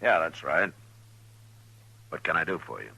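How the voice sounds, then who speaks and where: An actor playing a steady, watchful marshal in an old-time radio western, speaking in a measured, probing, laconic way. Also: male voice, extremely low pitch, gravelly, husky, full character voice. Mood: dry, steady. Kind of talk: old-time radio western drama, partly first-person narration. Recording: old radio recording with music.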